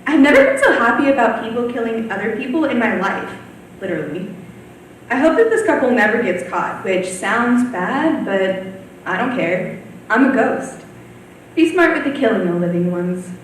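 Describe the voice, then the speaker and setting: A woman's voice: a little high, lively intonation, normal speed. A teenage girl playing a lonely, gleefully morbid ghost in a theatrical monologue. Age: teens. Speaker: high school student performer. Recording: very echoey room, distant mic, faint noise.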